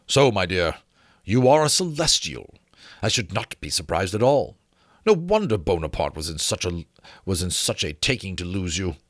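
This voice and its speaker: A man's voice: deep voice, very animated, normal speed, fairly bright tone, dry recording, studio quality, exaggerated voice. A man voicing a gallant, reassuring military captain with formal, courteous manners in a dramatic storybook reading. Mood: gracious, affectionate. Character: gallant, reassuring British male dragon captain.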